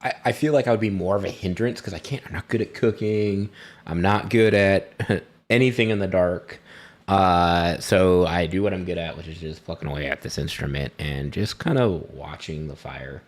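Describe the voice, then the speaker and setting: A man's voice: sits low, moderate pace. A man playing a tabletop game, describing his character's actions in casual, rambling, self-deprecating chat. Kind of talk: casual first-person role-play chat.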